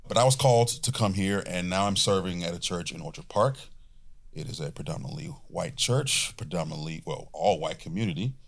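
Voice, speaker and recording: A male pastor talks in a relaxed, jokey, colloquial way. His voice is very deep and low. He sounds like an easygoing interview guest. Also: fairly quick, vocal fry. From Atlanta, Georgia, USA. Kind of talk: casual interview talk.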